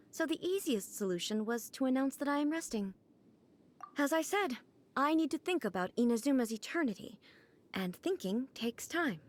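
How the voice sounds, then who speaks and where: A woman voicing a composed, aloof female ruler in a video game cutscene, speaking in a calm, measured, formal way. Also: sits high, a little hiss or hum.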